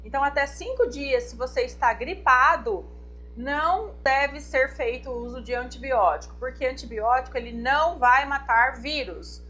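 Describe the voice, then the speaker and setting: A woman's voice: sits high, moderate pace, sharp tone. A woman doctor from Brazil, explaining a medical condition to viewers in a didactic talk, often checking in with them. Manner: didactic, addresses viewers directly with check-ins. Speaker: infectious disease doctor. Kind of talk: explanatory health talk to camera.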